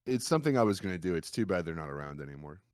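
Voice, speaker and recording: A man with a fairly low voice chats in a loose, informal way, like a streamer talking with friends. The sound is close and dry, with very little room in it. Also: big pitch swings, racing, a little mellow, dry recording. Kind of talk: casual chat on a gaming livestream.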